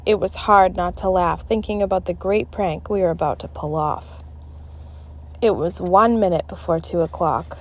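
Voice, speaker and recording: A woman narrating a children's book aloud in an expressive, dramatic, deliberate style. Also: fairly low pitch. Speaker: storybook reader.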